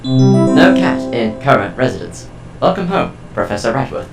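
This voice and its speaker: A man's voice: medium pitch, huge pitch swings, normal speed, creaky, reverberant, over music. A man playing a cold, formal household artificial intelligence in an audio drama, speaking in a stilted, precise, emotionless way. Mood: flat, formal.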